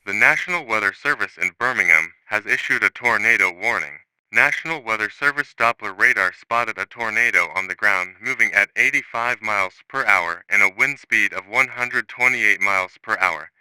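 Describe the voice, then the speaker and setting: A man's voice: on the low side, expressive, moderate pace, sharp tone, smooth, dry recording, low-quality recording. A man's synthetic voice reading a scripted emergency weather bulletin in a formal announcer manner.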